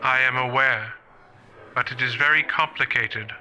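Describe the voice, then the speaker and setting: A man playing a thoughtful, morally troubled professor in a radio play, speaking in a measured, earnest way. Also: mid-range voice, pushed and bright, low-quality recording.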